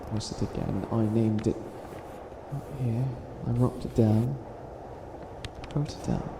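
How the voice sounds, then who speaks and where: A man's voice: on the low side, unhurried, creaky. A man playing a theatrical, flustered would-be evil witch in a comedic ASMR roleplay, stammering and stretching words for dramatic emphasis. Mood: proud, wistful. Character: flustered self-styled evil witch, hopelessly helpful, theatrical. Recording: echoey room with audible background noise.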